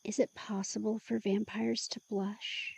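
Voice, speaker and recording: A woman's voice: medium pitch, little pitch movement, unhurried, creaky, a little mellow, husky, dry recording. A woman acting a curious, teasing helper in an intimate audio drama, playful and blunt as she prods for more. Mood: soft teasing.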